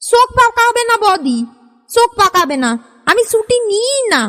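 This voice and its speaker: A woman's voice: very high voice, very animated, moderate pace, sharp tone, full character voice. A woman voicing a brash, defiant housemaid in a family sketch. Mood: brash defiance. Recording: reverberant hall-like echo.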